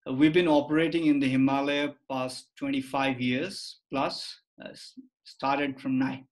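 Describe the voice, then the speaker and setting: Male guest expert, forties, Nepali accent, measured explanatory delivery over a video call. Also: medium pitch, little pitch movement. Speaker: guest, tour company director and lecturer. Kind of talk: explanatory interview talk. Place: office room, video call.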